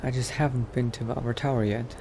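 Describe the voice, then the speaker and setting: A man narrating his video game roleplay in character, thinking aloud with pauses. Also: mid-range voice, steady tone, noisy background.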